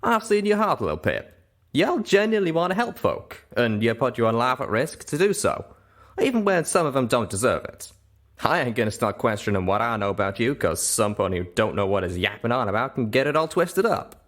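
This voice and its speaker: Man doing a folksy pony, raised pitch. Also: sing-song, fairly quick, fairly bright tone. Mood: reassuring, sincere. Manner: folksy, conversational. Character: friendly folksy cowboy male pegasus pony, loyal and easygoing.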